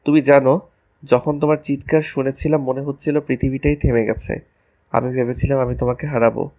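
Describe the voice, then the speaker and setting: A man's voice: mid-range voice, level tone, a little fast, dark tone, close to an everyday voice. A man playing a devoted young husband in a romantic audio story, tender, earnest and emotional. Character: anxious, devoted young husband and new father.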